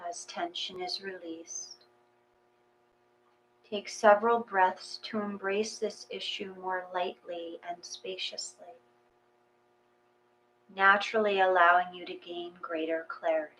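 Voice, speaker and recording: A woman reading a guided meditation in a gentle, unhurried, soothing way, with pauses between phrases. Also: on the low side, steady tone, a little hiss or hum, rough recording.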